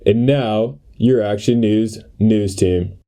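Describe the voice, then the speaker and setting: Slow man as a station announcer over music. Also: fairly low pitch, dark tone. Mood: booming, formal. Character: deep-voiced male station announcer. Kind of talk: news jingle voice-over.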